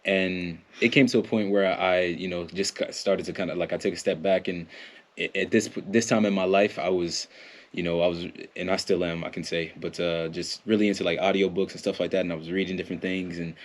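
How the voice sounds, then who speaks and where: A man's voice: deep voice, little pitch movement, a little fast. An American man in a casual podcast interview, a performance coach talking in a rambling way, with lots of fillers, restarts and laughs. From United States.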